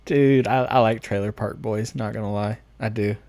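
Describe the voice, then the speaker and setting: A man's voice: on the low side, fairly even intonation, normal speed, darkish tone, no room sound. A man with a Southern American accent chatting informally and jokingly on a live stream as he answers comments. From United States.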